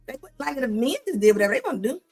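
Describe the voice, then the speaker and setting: A woman's voice: fairly high pitch, sing-song, very fast, a little mellow, breathy edge, faint music behind. A woman hosting a live show, reacting to clips in a sassy, scolding tone with casual slang, often repeating herself. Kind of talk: live commentary on videos.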